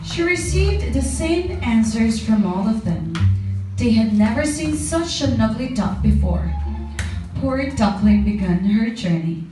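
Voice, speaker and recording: A woman's voice: on the high side, big pitch swings, unhurried. A woman narrating a scripted children's story for a puppet show. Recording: very echoey, distant mic, music behind.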